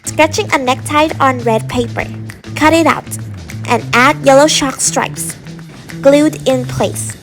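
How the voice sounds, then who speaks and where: A woman's voice: high-pitched, slow pace. A young woman reading a scripted step-by-step craft tutorial. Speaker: tutorial narrator. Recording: music behind the voice. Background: music.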